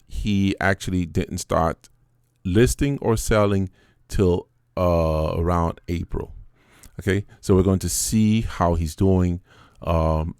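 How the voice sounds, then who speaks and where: A man hosting a casual interview with one of his students, relaxed and full of fillers, often repeating the guest's answers back. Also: deep voice, clear, resonant tone, no room sound, studio quality. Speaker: host and Amazon seller mentor.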